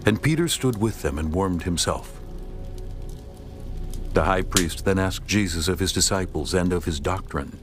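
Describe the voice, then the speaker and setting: A man's voice: low-pitched, expressive, moderate pace, music in the background. A man narrating dramatized scripture in a solemn, formal manner.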